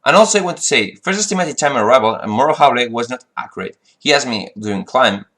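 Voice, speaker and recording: A man's voice: mid-range voice, animated, fast talker, fairly bright tone. Frustrated man. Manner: frustrated, rambling with restarts and fillers. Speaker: flight sim vlogger. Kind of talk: casual monologue.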